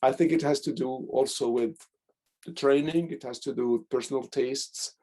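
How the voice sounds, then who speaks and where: A middle-aged man speaks over a video call in a mid-range voice, his tongue coloring his words. He answers questions thoughtfully, pausing to find the right phrase. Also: medium pitch, warm tone. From Algeria. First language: Algerian Arabic (Darija). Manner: thoughtful, pauses and searches for words. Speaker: guest speaker, professor and poet. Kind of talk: answering audience questions in an online talk.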